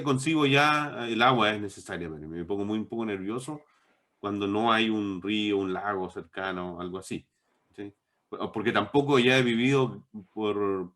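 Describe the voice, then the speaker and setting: A middle-aged man talks reflectively in an interview, in a discursive, halting way with repeated words. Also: lowish voice, a bit bright. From born in Bolivia, moved to Chile as a child. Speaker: interviewed novelist.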